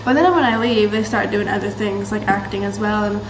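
A teenage girl from Massachusetts chatting to her webcam in a bright room, rambling and bubbly with lots of fillers. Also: medium pitch, fairly even intonation, a little fast. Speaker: vlogger. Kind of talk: casual chat to camera. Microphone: built-in camera mic, close webcam framing. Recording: roomy echo, faint background hiss.

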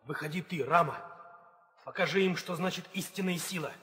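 An actor playing a fiery, loyal young warrior prince, urgent and fervent, in a 1960s theatrical audio drama. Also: male voice, fairly high pitch, fairly quick, bright, forceful tone. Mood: urging. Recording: reverberant hall sound.

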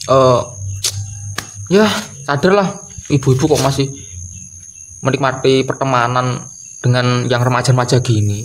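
A man, giving a casual comedic rant in a halting, repetitive, exasperated way. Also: medium pitch, slight background noise. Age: early 20s. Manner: exasperated, halting, repeats phrases, slips in English swearing. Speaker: video creator. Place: doorway at home by a wooden door. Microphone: camera or phone close in front.

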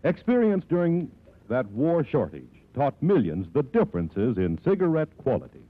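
Tinny phone-like old radio, American male announcer, lively pitch, polished, emphatic, selling. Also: normal speed, no room sound. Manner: emphatic, polished sales delivery. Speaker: commercial announcer. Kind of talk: 1940s radio advertisement. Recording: tinny, band-limited 1940s radio broadcast.

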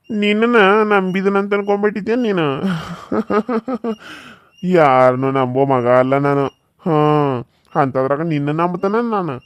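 A man's voice: a little high, no echo, natural voice. A man playing a taunting village bully husband. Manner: mocking, threatening, scornful laughter. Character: cruel, scheming village husband.

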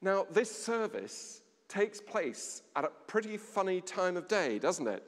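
Unhurried preacher in a reverberant church. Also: male voice, sits high, sing-song. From England. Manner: measured preaching with dramatic pauses and a dropping voice. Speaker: vicar. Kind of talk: sermon. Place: large stone church interior. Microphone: standing at a lectern, far camera.

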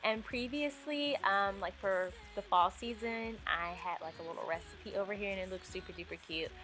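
A woman's voice: mid-range voice, moderate pace, fairly bright tone. A young woman narrating her home decorating vlog in a casual, upbeat voice-over, full of ums and likes. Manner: chatty, upbeat, with fillers like um and like. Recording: faint music underneath.

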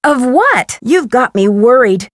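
A young American sleuth for a mystery video game. Her voice is fairly high and very expressive, with polite, quick-witted curiosity. The sound is dry and close. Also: no room sound. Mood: curious, concerned. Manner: inquisitive, bright, articulate.

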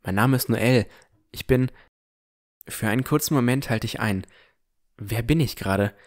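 An actor playing a puzzled but determined young armored swordsman who narrates his fantasy audio drama in a measured, introspective way. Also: medium pitch, lightly acted. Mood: puzzled, resolute. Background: music.

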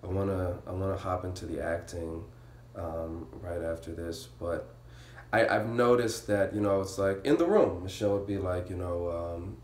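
A man's voice: deep voice, moderate pace, a little mellow, slight background noise. A man in his thirties hosting a casual interview in an informal, teasing way. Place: living room at home. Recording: echoey room.

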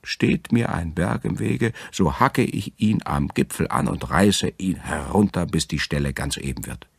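Man reading as a husky, plain-spoken idler. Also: low voice, expressive, a little slow, no echo, exaggerated voice. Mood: matter-of-fact. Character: lazy mountain-hacking male wanderer, plain-spoken.

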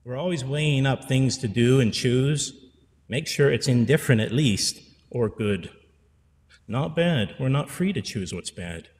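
A man's voice: mid-range voice, lively intonation, a little slow, darkish tone, big-room sound. A man in his forties, a priest giving an earnest pastoral sermon at a pulpit microphone, repeating phrases for emphasis. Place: church sanctuary. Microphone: stand mic at pulpit.

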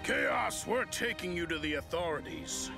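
A man's voice: high-pitched, animated, moderate pace, gravelly, music in the background. A man voicing a righteous, commanding young enforcer in a video game. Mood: firm.

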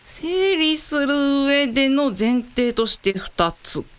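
A woman's voice: high-pitched, expressive, takes it slowly. A woman playing a polite, anxious young female research scientist in a radio drama. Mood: earnest, careful.

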